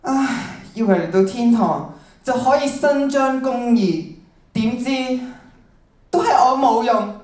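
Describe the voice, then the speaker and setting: A distant, echoing young demon , acted by a teenage girl in a radio drama. Timid and soft-hearted, it speaks in a fairly high voice with earnest, plaintive self-doubt. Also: slow. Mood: indignant, dejected. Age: teens. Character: timid, gentle-hearted young demon who hates injustice. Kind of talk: stage radio drama acting. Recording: echoey hall, off-mic.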